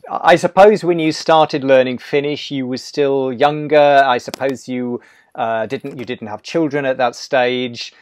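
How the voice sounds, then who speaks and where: A man in his forties, speaking at a middle pitch, hosts an interview over a video call from home. He builds long, thoughtful questions in several parts. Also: fairly even intonation, measured pace. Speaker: interview host. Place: room at home.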